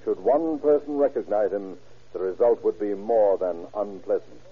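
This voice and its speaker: Man as dramatic radio crime narrator, low and serious, very tinny vintage recording. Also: moderate pace, slightly rough.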